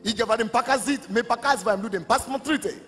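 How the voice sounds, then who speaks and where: Male pastor, high pitched, fervent sermon delivery, reverberant hall with light music bed. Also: quick pace, a bit bright. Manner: impassioned preaching that swells to emphatic declarations.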